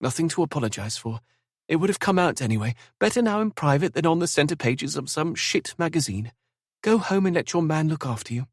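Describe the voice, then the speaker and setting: A man's voice: fairly high pitch, lively intonation, a little fast, dry recording, clean studio sound, pitched above the performer's own voice. A man voicing Zara, a composed young woman who speaks her mind steadily. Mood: reassuring. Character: young woman Zara, calm, firm, level-headed.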